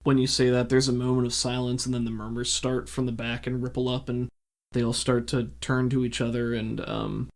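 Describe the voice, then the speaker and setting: A man with a gravelly voice, running a tabletop roleplaying game as game master, telling the story and explaining in a deliberate way. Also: medium pitch, little pitch movement. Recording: voice over background music.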